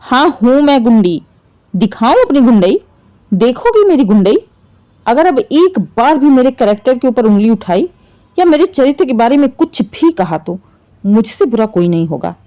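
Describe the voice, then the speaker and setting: A woman voicing a defiant young daughter-in-law, sharp-tongued and threatening, in a family drama story. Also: fairly high pitch, sing-song, normal speed. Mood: defiant, angry.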